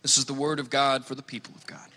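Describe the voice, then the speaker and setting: A man's voice: medium pitch, little pitch movement, quick pace, pushed and bright. A man reading scripture aloud in a solemn, formal style. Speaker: scripture reader. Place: large hall, church service. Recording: echoey hall with long reverb.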